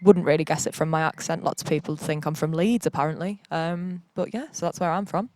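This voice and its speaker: A woman's voice: sits low, fairly even intonation, fairly quick, dark tone, dry recording. A woman from northeast England, chatting in a rambling, upbeat way outdoors. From Durham, northeast England. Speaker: band member asking questions. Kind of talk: casual chat.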